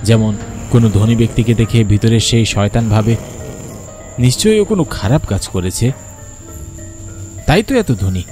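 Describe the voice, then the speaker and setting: An actor playing a troubled male psychiatrist with a dark, demonic side, in a brooding, dramatic horror story. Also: lowish voice, lively intonation, normal speed, pauses often, soft background music, lightly acted. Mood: dark, intense.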